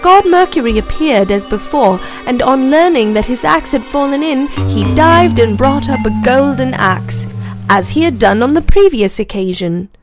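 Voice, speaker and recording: A woman narrating moral stories for children in a slow, clear, even storybook reading. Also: sits high. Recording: narration over clear background music. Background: background music.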